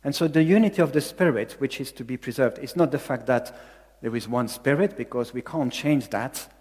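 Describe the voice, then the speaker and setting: A man's voice: medium pitch, a little fast, a little mellow, husky, long reverb tail. A man from Belgium with a Belgian accent, preaching a sermon in English as a second language, earnest and repeating key phrases for emphasis. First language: not English. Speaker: pastor.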